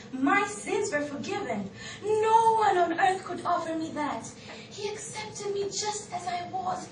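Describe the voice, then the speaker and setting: A woman's voice: very high voice, full character voice. A woman with a Kenyan accent playing a shamed but devoted biblical outcast in a theatrical, emphatic stage monologue. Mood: wounded, amazed. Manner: theatrical, emphatic, drawn-out declamation. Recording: echoey, noisy room, distant microphone.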